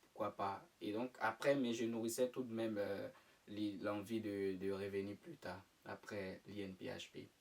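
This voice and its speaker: A man's voice: fairly low pitch, level tone, moderate pace, vocal fry, darkish tone, recorded from a distance. A young man from Côte d'Ivoire, answering interview questions in a casual, hesitant way with many fillers and restarts. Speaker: interview guest.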